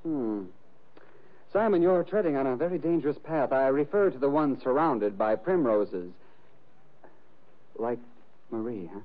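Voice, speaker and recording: A man's voice: mid-range voice, expressive, a little slow, pauses often, natural voice. A man acting a droll, fussy sidekick in a 1950s radio drama, dry and mock-prim in manner. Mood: disapproving, dry. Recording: muffled vintage 1950 radio recording.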